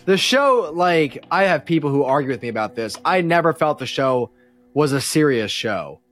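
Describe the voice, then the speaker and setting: A man's voice: on the high side, very animated, normal speed, a bit bright, soft background music. A man in his thirties chatting casually as a podcast host, with earnest, opinionated enthusiasm. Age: 30s. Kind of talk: casual fan chat.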